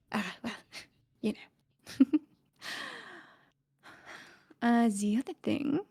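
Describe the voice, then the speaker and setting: A woman voicing a haughty, dry fancy lady adventurer in a tabletop game, breathy, hesitant and condescending. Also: on the high side, very slow, pauses often, heavily acted. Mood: careful, wry. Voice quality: breathy, hushed. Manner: hesitant, condescending, breaks into small laughs. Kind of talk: in-character tabletop roleplay.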